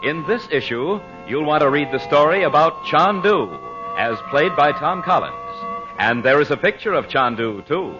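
A man's voice: a little high, lively intonation, moderate pace. A man announcing and selling in a polished, salesmanlike 1940s radio style. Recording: dull vintage radio recording with music.

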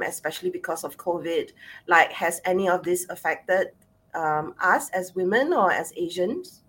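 A woman from Singapore with a Singaporean accent, hosting a conversational discussion with halting, hesitant phrasing. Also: on the low side, fairly bright tone, low-quality recording. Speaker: host.

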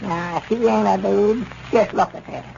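High pitched old southern storekeeper, folksy n grumbly, old muffled 1930s radio comedy. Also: male voice, fairly even intonation, normal speed, creaky, warm tone. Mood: amazed. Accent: rural Southern US. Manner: homespun, plain-spoken, grumbling. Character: folksy, easily puzzled old country storekeeper. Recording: dull, noisy 1930s radio recording.

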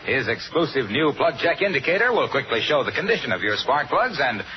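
A man with an American accent playing a chipper, folksy pitchman in a 1950s radio commercial. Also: very animated, brisk, close to an everyday voice. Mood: chipper, selling. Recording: muffled vintage radio, faint noise.

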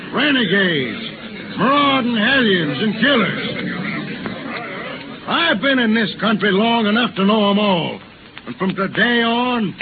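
Stern frontier hero, folksy drawn-from-the-West talk, highish pitch, old radio play, noisy recording. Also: male voice, narrow, tinny audio. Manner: folksy Old West speech, forceful declarations. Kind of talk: 1930s radio acting.

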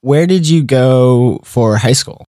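A man's voice: medium pitch, slow pace, dark tone, dry recording, studio quality. A young man hosting a student podcast, reading a scripted intro and then interviewing with curious, encouraging follow-up questions.

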